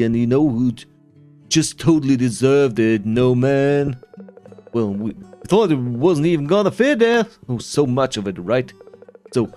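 Slangy young punk pig, boastful prankster bro for a game dub played by a man, unhurried, music behind. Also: medium pitch, darkish tone, natural voice. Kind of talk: voiced-over video game dialogue.

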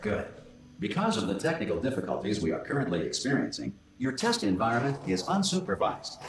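An actor playing an unflappable corporate facility announcer in a video game, formal, crisp and blandly upbeat. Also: male voice, on the low side, wildly swooping pitch, fairly quick, echoey room. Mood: blandly official. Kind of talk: scripted pre-recorded announcement in a video game.

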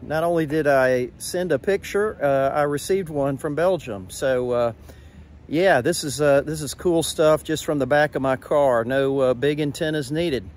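A man's voice: a little high, unhurried. A middle-aged man from the USA, making a ham radio contact outdoors behind his car and talking to a handheld camera. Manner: friendly, radio-style call signs. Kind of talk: radio contact with casual narration. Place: outdoors at the open back of a car. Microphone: phone camera at arm's length.

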